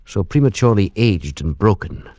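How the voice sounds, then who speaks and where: A man's voice: fairly low pitch, lively intonation, normal speed, mellow, no echo, lightly acted. An actor playing a grave, haunted man narrating a horror story in first person, in old radio drama style.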